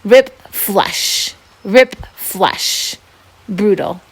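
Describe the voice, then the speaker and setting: A woman volunteer reading a children's novel aloud in an expressive, first-person storytelling style. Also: medium pitch, drawn-out words, husky.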